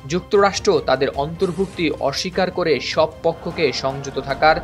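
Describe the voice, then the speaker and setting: A man with a Bangladeshi accent reads a scripted news report in a formal, measured manner. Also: on the high side. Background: background music.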